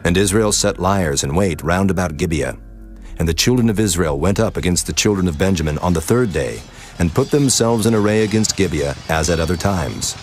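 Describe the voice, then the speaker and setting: A man narrating scripture from a script in a solemn, measured, formal manner. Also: low voice, lively intonation, darkish tone. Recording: music laid under the voice.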